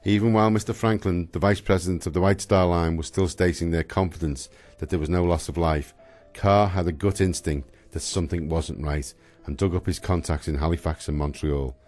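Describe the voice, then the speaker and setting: A man narrating a radio drama from a script in a solemn, measured manner. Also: low voice, steady tone, darkish tone, faint music behind.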